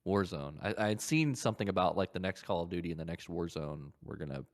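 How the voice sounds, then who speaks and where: A man's voice: deep voice, fast, no echo, clean studio sound. A man co-hosting a casual gaming podcast, speaking bluntly and swearing freely. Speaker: podcast co-host.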